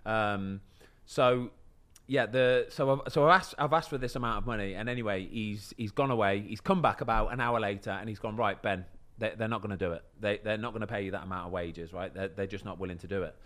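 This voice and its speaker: A man's voice: on the low side, fairly quick, hardly any pauses, fairly bright tone. A man, telling a story in casual podcast chat, often checking in with "do you know what I mean". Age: 30s. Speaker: podcast host and footballer.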